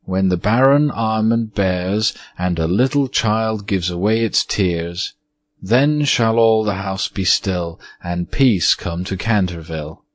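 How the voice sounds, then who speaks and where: A gentle little girl speaks earnestly in a lifted, soft voice, as a man reading the story puts her on. Her lines come quietly and with care. The sound is close and dry. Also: slow pace, no echo. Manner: soft, earnest, raised pitch.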